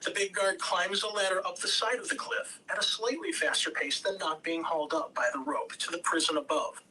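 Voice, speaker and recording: A man narrates a screenplay's scene headings and action at an amateur table read. His voice is high for a man and very bright, picked up a little away from the microphone with faint room noise. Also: fairly quick, slightly rough, far from the mic, rough recording. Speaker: stage-direction reader.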